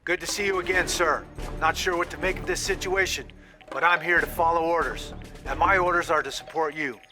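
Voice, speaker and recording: An actor plays a dutiful male field officer reporting for orders in a steady way. His voice is a bit high. Clear music plays underneath. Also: fairly quick, natural voice.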